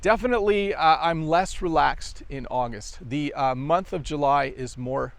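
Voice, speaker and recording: A middle-aged Canadian man with a Canadian accent explains English phrases in an easygoing, friendly way, dropping in casual fillers. His voice sits a little high and has a gravelly edge. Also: normal speed, no room sound. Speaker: English teacher. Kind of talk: English lesson.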